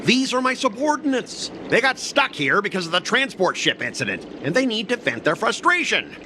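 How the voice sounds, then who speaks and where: A cocky young man sneers and taunts in a high, wildly swooping voice. He is a sarcastic, theatrical salesman who looks down on everyone, heard over clear background noise. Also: big pitch swings, normal speed, sharp tone, heavily acted. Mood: smug. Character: smug, mocking young male mech salesman and courier boss. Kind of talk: video game cutscene acting.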